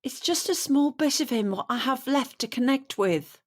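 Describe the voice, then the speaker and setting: A woman's voice: high-pitched, animated, normal speed. An actress playing an older bereaved widow and mother in an audio drama, plaintive and stubborn in manner. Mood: wistful. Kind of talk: naturalistic audio drama acting.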